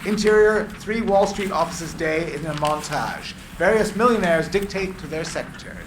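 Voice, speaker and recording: A man's voice: on the high side, moderate pace, roomy sound, noisy background. A man reading screenplay narration and stage directions at a live script reading.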